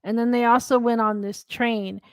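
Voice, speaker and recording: A woman's voice: fairly high pitch, steady tone, moderate pace, clean tone, no room sound, clean studio sound. A young woman from the Philippines co-hosting a casual podcast, rambling with lots of fillers and trailing off mid-thought. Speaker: podcast co-host. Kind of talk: casual chat.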